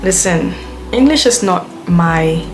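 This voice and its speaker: A woman's voice: on the low side, expressive, slow pace. Rambling young woman vlogging. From Belgium. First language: Dutch. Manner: chatty, rambling, heavy use of "like". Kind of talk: casual rambling vlog rant. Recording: music playing under the voice.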